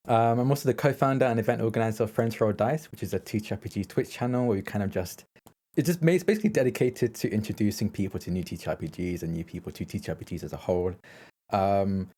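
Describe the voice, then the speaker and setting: Low, dark-voiced man chatting casually. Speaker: guest podcaster and tabletop roleplay streamer. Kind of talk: casual, rambling self-introduction and chat.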